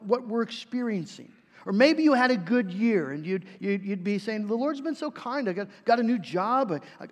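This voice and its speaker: A man preaching a sermon in a conversational way, with frequent fillers and restarts. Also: very high-pitched. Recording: reverberant hall.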